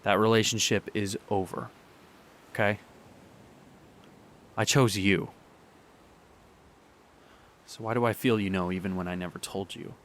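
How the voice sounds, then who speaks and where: A young man playing a heartbroken boyfriend in an audio drama monologue, speaking earnestly and haltingly. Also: lowish voice, steady tone, fairly bright tone, slight background noise. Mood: pleading, regretful. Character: heartbroken young boyfriend pleading with his distant partner.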